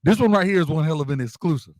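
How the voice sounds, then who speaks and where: A man's voice: a little high, quick pace, a little mellow, no room sound. Man hosting casually, dry close sound. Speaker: radio show host. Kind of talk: casual hype interview hosting.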